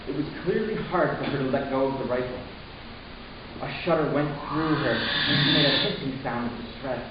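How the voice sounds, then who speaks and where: Casting: anguished, defiant young man, played by a male actor, slightly high voice, halting and intense first-person drama, heavy hiss. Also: close to an everyday voice. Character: anguished, defiant young human male narrator of science fiction. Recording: echoey room from far off, muffled, hissy.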